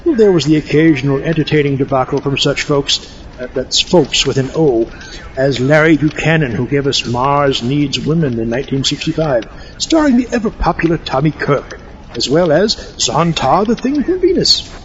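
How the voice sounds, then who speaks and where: A man's voice: on the high side, sing-song, normal speed, highs cut off, a little hiss or hum. A man playing a theatrical mad-scientist doctor, pompous and wry, lecturing wordily in a comic audio drama. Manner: pompous, wry, wordy, mock-erudite. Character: theatrical mad-scientist doctor, fussy and haughty film buff.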